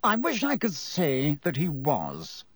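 A man's voice: a little high, very animated, a little slow, no room sound, voice pushed up. A man playing a pompous, self-important older government minister in an audiobook, grave and formal in delivery. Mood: unnerved, measured.

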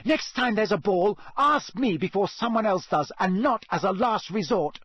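A man voicing a fiery, indignant teenage girl in a storybook, sharp and scolding. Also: high voice, lively intonation, normal speed, husky, no echo, pitched above the performer's own voice, exaggerated voice. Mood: furious.

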